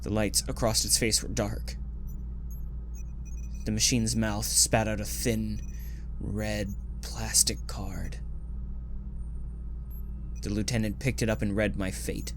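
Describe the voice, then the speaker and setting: A man's voice: lowish voice, level tone, slow pace, pauses often, background noise. A man playing an anxious, poetic clerk in a dystopian audio drama, with an earnest, theatrical, emotive delivery. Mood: haunted narration. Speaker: actor. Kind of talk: audio drama acting, with reflective first-person narration.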